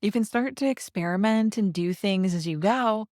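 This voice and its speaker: A woman's voice: mid-range voice, normal speed, darkish tone, no echo, studio quality. A woman hosting a podcast, chatting upbeat and conversational with her guest. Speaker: podcast host. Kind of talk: casual interview chat.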